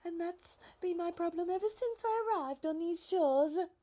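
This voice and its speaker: A woman voicing a polite, easily overawed and excitable female giraffe in a storybook, with a gushing manner. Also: very high voice, normal speed, voice pushed up. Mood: apologetic, polite. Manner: gushing, exclaiming.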